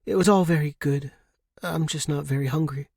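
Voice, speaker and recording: Young woman, polite and lightly amused, performed by a male reader, raised pitch, story narration. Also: moderate pace, gravelly, a little mellow, husky, no room sound, clean studio sound.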